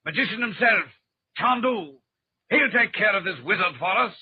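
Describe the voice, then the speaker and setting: An actor playing a brisk, blustering British colonial High Commissioner in a 1940s radio drama. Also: male voice, lively intonation, over music. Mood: reassuring, impatient. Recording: dull, slightly noisy old radio recording.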